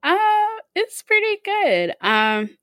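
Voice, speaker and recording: A woman's voice: high voice, big pitch swings, slow pace, pushed and bright, no room sound, studio quality. A woman co-hosting a casual podcast, chatting loosely with many "like"s and "um"s. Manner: chatty, lots of likes and ums. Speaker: podcast co-host. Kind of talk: casual chat.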